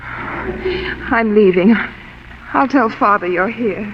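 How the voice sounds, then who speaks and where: High-voiced, spirited young noir heroine. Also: female voice, animated, slow, slight background noise. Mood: bitter, scornful. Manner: earnest, biting, dramatic. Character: hurt, sharp-tongued, spirited young woman, loyal daughter. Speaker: actress in a radio drama. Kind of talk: 1940s radio drama acting. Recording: muffled old radio broadcast with music.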